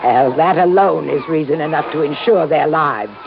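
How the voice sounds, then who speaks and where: A woman's voice: sits low, moderate pace, creaky. An actress playing an elderly, eccentric woman professor in an old time radio drama, brusque, commanding and theatrical. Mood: proud, insistent. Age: older. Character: imperious, eccentric elderly woman professor devoted to her dolphins. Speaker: scientist, professor. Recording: dull, noisy old radio recording.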